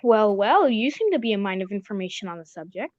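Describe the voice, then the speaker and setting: A woman's voice: mid-range voice, very animated, fast, no echo, lightly acted. A woman playing a genial, sentimental male sidekick and storyteller in an old-time radio drama, with polite, old-fashioned, exclamatory delivery. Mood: curious, sympathetic. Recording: dull, dry lo-fi recording.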